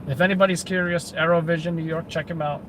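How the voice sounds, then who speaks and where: Give me a chatty man host with a fairly high voice, commenting live over loud street noise. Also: fairly even intonation, fast talker. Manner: chatty, explaining police radio codes. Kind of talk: casual live commentary on news footage.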